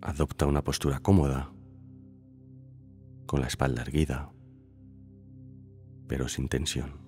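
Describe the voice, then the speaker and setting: Male meditation guide, extremely low register, calm and measured, soft music underneath. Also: creaky. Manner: calm, unhurried phrasing with long pauses. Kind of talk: slow scripted guided meditation. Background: background music.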